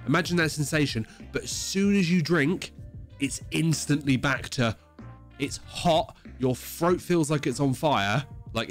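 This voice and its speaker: A man hosts a casual livestream podcast with music behind him. He sounds stuffed up with a head cold and sore throat. He explains things at length in a mid-pitched voice, hammering his points and swearing freely. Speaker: podcast host.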